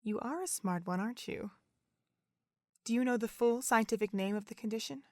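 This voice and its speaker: A woman playing a calm, motherly pony doctor in an audio drama, gentle and deliberate. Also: a little high, no room sound, clean studio sound, close to an everyday voice. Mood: reassuring, solemn.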